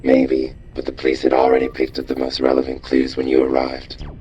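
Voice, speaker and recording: A gruff robot chief in a game, with an extremely low voice. He speaks in a measured, near-monotone, commanding way, over faint ambient noise. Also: muffled recording. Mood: measured, instructing. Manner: measured, terse, authoritative. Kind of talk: scripted game dialogue.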